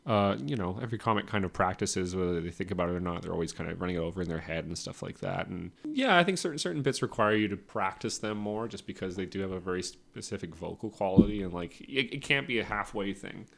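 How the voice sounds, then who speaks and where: Gravelly deep-voiced man, rambling. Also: animated, fast, few pauses, fairly bright tone. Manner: rambling, hedging with many restarts. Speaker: comedian guest. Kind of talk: casual podcast chat.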